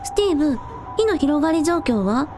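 A woman's voice: sits high, big pitch swings, brisk, over music. A woman playing an earnest, practical young shrine helper in a radio drama. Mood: practical, polite.

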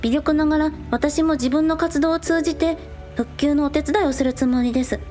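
Teen village idol, played by a woman: very high voice, cute, earnest and excitable, audio drama with music behind. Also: a little fast, close to an everyday voice. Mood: earnest reporting. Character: bubbly teenage girl village idol, streaming live. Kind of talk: Japanese audio drama acting.

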